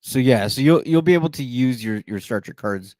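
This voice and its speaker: A man's voice: medium pitch, expressive, a little fast, darkish tone, no room sound. A man co-hosting a podcast in casual chat, eagerly explaining how a card game works. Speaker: podcast co-host.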